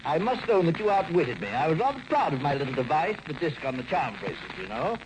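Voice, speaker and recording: A distinguished, sly older gentleman crook in an old radio play. He speaks genteelly and formally with animated swings of pitch, over a noisy vintage recording. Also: fast. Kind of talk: 1940s radio drama acting.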